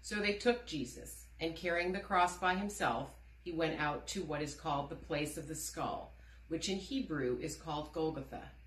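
A middle-aged woman giving a measured scripture reading as a church member, recorded indoors. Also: low-pitched. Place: indoor room with wooden door. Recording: roomy indoor echo.

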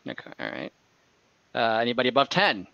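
A man running a tabletop game as dungeon master over a video call, relaxed and hesitant, thinking aloud with many fillers. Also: medium pitch, a little fast, creaky, no room sound. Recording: dull lo-fi video call audio.

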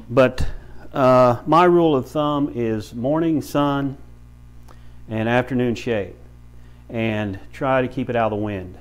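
A man in his fifties giving an informal how-to demonstration in a plain, folksy, halting way, as a practical instructor. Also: mid-range voice. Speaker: beekeeper and bee farm shop owner, instructor. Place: small brick-walled room with many windows, indoors.

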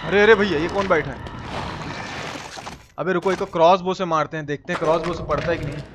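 A young man doing casual, slangy live game commentary, repeating his phrases excitedly and chatting with viewers. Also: fairly high pitch, brisk, background noise. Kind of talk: casual gameplay commentary with chat replies.

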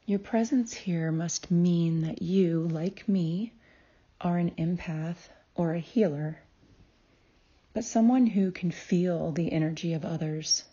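A woman narrating a guided meditation in a calm, soothing way, with deliberate phrasing and long pauses. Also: on the low side, breathy edge, dull audio. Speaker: guided meditation host and healer.

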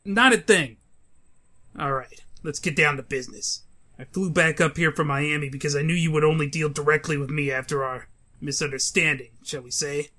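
A man's voice: a little high, normal speed, sharp tone. A man playing an uneasy mobster in a comic book dub. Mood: nervous, ingratiating. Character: anxious, pleading male crime boss and mob henchmen.

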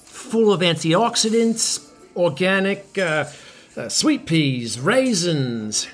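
A man's voice: sits high, very animated, takes it slowly, faint music behind, exaggerated voice. An actor in a comedy podcast playing a washed-up middle-aged rock star, boastful and needy, stammering as he rambles matily. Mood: schmoozing. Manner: stammering, rambling, faux-matey.

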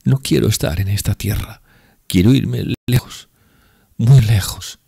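A man voicing a drunk, impassioned young woman in an audiobook, speaking in a raised, breathy, pleading tone. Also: on the low side, measured pace, mellow, pitched above the performer's own voice, heavily acted. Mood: earnest. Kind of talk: audiobook character reading.

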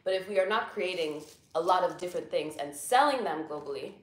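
A woman's voice: lowish voice, expressive, brisk, echoey room, low-quality recording. A young American woman, a guest and venture capital investor, explaining things patiently and simply in a casual chat in a living room.

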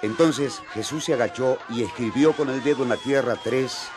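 A man's voice: medium pitch, normal speed, natural voice. An actor playing a male disciple who narrates a radio drama as an eyewitness. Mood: grave, dramatic. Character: male disciple narrating a violent street scene as witness. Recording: noisy, shouting crowd behind.

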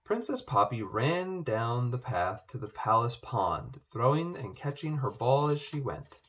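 A man reading a children's storybook aloud, with expressive narration. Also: mid-range voice, unhurried. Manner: expressive, animated. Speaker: storybook reader. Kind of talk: children's book read-aloud.

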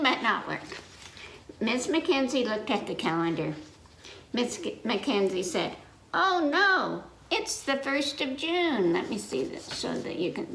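A woman's voice: a little high, creaky, pushed and bright. An older woman, in her sixties or older, leading a children's story time, reading aloud and explaining things simply with repetition, in a teacherly way. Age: 60s or older. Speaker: library story time reader. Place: indoor living room in front of a fireplace. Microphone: camera a few feet away. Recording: echoey room, noticeable noise, clicks.

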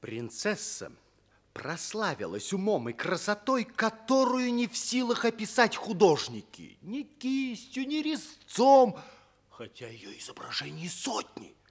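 Anxious, high-voiced loyal manservant. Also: male voice, very animated, measured pace, heavily acted. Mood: sorrowful awe. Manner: deferential, declamatory, dramatic. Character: anxious, devoted servant of a prince, fairy-tale tragicomedy. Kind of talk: theatrical radio drama acting.

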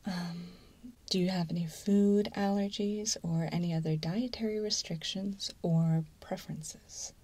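A woman playing a mild, businesslike intake assessor in an ASMR role-play, in a soft breathy whisper with a methodical, slightly hesitant manner. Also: fairly low pitch, fairly even intonation, unhurried, slight background noise, close to an everyday voice. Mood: calm, methodical. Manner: methodical, hesitant, matter-of-fact. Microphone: close microphone.

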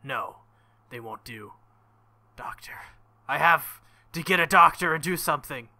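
Man reading as a teen boy hero, panicked, visual novel, bright, a bit high. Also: expressive, a little slow, no room sound, heavily acted.

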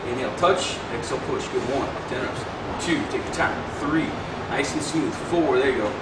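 A man's voice: mid-range voice, moderate pace. A middle-aged man working as a personal trainer, coaching exercise in short, clipped cues and counts. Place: large indoor weights gym. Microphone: far camera. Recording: distant mic, echoey, loud gym noise.

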